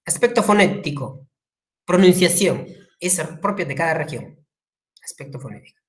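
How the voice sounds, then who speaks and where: A woman's voice: low voice, normal speed. A woman with a Peruvian Spanish accent giving a classroom lecture, acting out quotes and asking the class questions. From Peru. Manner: lively, acts out quotes, asks the class questions. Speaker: teacher.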